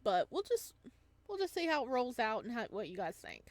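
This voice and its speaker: A woman hosting a let's-play of a visual novel, giving playful, giggly and excitable reactions. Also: a little high, fairly quick, no room sound. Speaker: gameplay video host.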